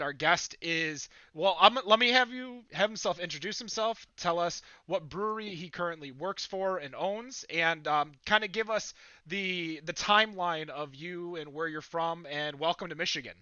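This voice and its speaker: A man's voice: fairly high pitch, sharp tone, dry recording, highs cut off. A man hosting a podcast over a video call, upbeat and rambling with fillers. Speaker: podcast host.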